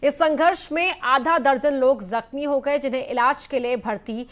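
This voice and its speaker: A woman's voice: high-pitched, normal speed. A woman news anchor reading a scripted news bulletin.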